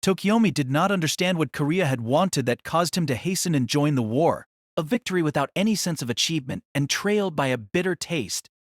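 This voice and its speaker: A man's voice: fairly high pitch, dry recording, clean studio sound. A man reading scripted novel narration for an audio drama.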